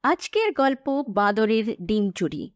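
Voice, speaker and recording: A woman tells a scripted cartoon story in a high-pitched voice. Her delivery rises and falls with lots of animation, and music plays behind her. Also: sing-song, slow.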